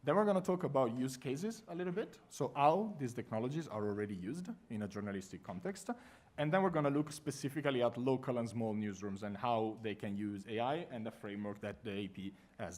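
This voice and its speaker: A man with an Italian accent presenting a conference workshop to a live audience, talking in a friendly, lively way with frequent fillers. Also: lowish voice, a little fast. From Italy.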